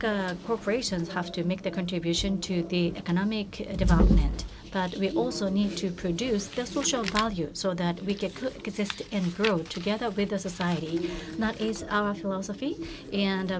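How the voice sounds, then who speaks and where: A woman's voice: mid-range voice, over a noisy crowd. A woman working as a conference interpreter, rendering a keynote speech with frequent hesitations and restarts. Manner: halting, many fillers and restarts. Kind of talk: consecutive interpretation.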